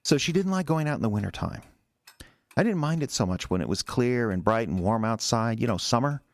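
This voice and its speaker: An actor in an audio drama playing a resentful, defensive husband who stammers and rambles in a halting, wounded way. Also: male voice, mid-range voice, expressive, no echo, natural voice. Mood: brooding, resentful. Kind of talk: naturalistic audio drama acting.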